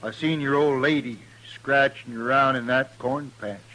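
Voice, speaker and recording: A man's voice: mid-range voice, fairly even intonation, normal speed, bright, forceful tone, natural voice, rough recording. An actor playing a stubborn, feuding hillbilly gunman with a blunt rural American drawl in an old-time radio western. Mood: grudgingly friendly. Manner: drawling, blunt backwoods talk. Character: stubborn feuding hillbilly man, long-legged gunman with rigid scruples.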